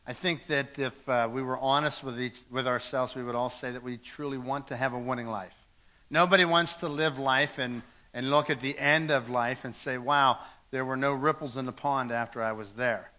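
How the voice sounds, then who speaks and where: A man's voice: mid-range voice, steady tone, moderate pace, sharp tone. A man preaching a sermon in a church, earnest and repeating words as he goes, reading scripture aloud. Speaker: pastor.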